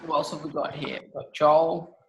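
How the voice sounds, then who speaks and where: A man's voice: on the high side, little pitch movement, normal speed, slight background noise. A man, presenting on a video call stream in a casual, upbeat way. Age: 20s. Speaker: social media marketing presenter. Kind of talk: casual live stream chat.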